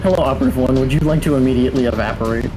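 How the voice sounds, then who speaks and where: A man's voice: medium pitch, very fast, rough recording. A man chatting casually as a player in a tabletop game. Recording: music playing behind the voice. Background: music.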